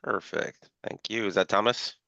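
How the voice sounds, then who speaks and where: A man chairing an online working-group call, his voice a little scratchy from allergies, talking haltingly with many fillers. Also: fairly low pitch, creaky, sharp tone, no room sound. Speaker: meeting chair. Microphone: video call. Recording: dull lo-fi call audio.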